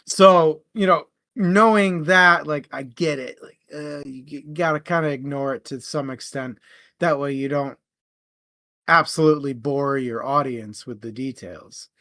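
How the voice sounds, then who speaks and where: A man co-hosting a casual book discussion, animated in how he makes his points. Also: on the high side, a little slow, dry recording, clean studio sound. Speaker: book podcast co-host.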